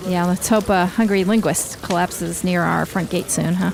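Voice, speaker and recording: A woman's voice: on the low side, steady tone, moderate pace, gravelly, crowd noise, rough recording. A woman playing a tough, guarded commander of a survivor militia in a radio drama, speaking tersely and with command. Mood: weary, wry. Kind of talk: post-apocalyptic radio drama acting.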